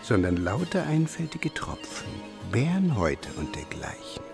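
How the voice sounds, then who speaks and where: A mischievous old-world army scribe in a radio drama, swinging his pitch widely with a gravelly creak. He spins tall tales with mock earnestness while music plays behind him. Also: wildly swooping pitch, normal speed. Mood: mock-earnest, sly. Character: sly military scribe, mischievous rogue spinning tall tales. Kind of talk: radio play acting.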